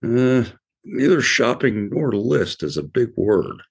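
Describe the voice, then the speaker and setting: A gravelly, patient cartoon elephant. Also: male voice, mid-range voice, very animated, takes it slowly, heavily acted. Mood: explaining. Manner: patient, explaining, mildly fussy. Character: patient, sensible male elephant. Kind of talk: children's cartoon voice acting.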